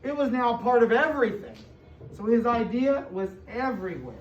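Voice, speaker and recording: A woman's voice: a little high, very animated, brisk, distant mic. An American woman reads a storybook to young children in an echoey room, some way from the microphone. She stops to explain in simple words and asks questions, leaning on key words. The sound is slightly muffled with faint noise. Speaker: school superintendent reading aloud to children. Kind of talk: interactive picture-book read-aloud to young children. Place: indoor room with children present.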